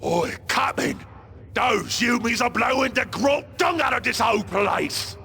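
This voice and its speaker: A man playing a brash, belligerent ork warboss in a video game cutscene, with a gravelly, harsh Cockney voice and crude bellowing grammar. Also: extremely high pitch, expressive, measured pace, pushed and bright, exaggerated voice. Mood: exasperated. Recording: game music under the voice.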